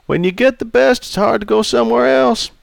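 Male narrator as jovial older customer, folksy teasing, gravelly, dry close sound. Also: high voice, very animated, brisk, dry recording, pitched above the performer's own voice, exaggerated voice.

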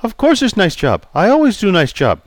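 Male reader as grumpy veteran barber, blunt broken English, lively gravelly voice, dry sound. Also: mid-range voice, unhurried, no room sound. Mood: gruff. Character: crusty older male barber, gruff and prickly.

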